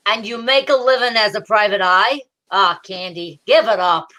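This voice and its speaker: A woman's voice: mid-range voice, normal speed, bright, forceful tone, close to an everyday voice, low-quality recording. A woman playing a world-weary, wisecracking male homicide inspector in an old-time radio detective drama style. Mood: weary, grave. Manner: sardonic, hard-boiled patter. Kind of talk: 1940s radio detective acting.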